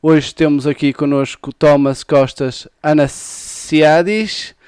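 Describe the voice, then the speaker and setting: Chatty Portuguese host with a smooth voice. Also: male voice, a little high, fairly even intonation, measured pace, a little mellow, dry recording, studio quality. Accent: European Portuguese. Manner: chatty, laughs often, stumbles and restarts. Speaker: podcast host. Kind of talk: casual live interview.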